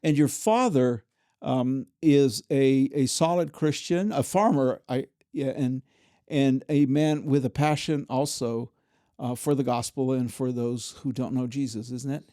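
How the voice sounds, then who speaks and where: A man's voice: medium pitch, unhurried, dark tone, no echo. A man hosting a podcast in a studio, asking friendly questions that are dotted with fillers. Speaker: podcast host. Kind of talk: podcast interview.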